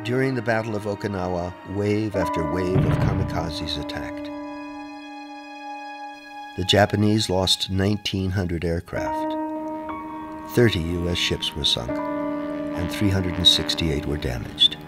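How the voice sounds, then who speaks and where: Low-voiced male documentary narrator over music. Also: unhurried, long pauses. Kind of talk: scripted historical narration. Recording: music bed under the voice.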